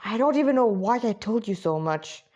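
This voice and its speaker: A woman's voice: mid-range voice, animated, normal speed, mellow, dull audio, natural voice. A woman voicing a legendary female android warrior, curt, stern and dismissive, in an amateur story reading. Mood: stern, grumbling.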